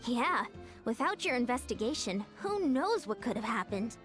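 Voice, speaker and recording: A woman's voice: fairly high pitch, big pitch swings, moderate pace, a bit bright. An actress playing a friendly, eager tribeswoman who offers to help, over game music.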